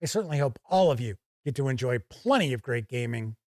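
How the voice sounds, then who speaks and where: A man hosting a conversational video review, speaking in a measured, frank way with emphatic phrasing. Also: medium pitch, expressive, darkish tone, dry recording, studio quality. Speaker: host of a tabletop game review channel.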